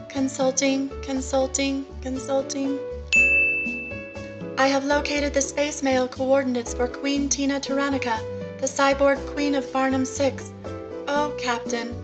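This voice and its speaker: Woman acting a high, even-voiced haughty ship's computer. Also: lightly acted. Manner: formal, measured, repetitive machine phrasing. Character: haughty female ship's computer, precise and condescending. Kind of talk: comic sci-fi radio serial acting.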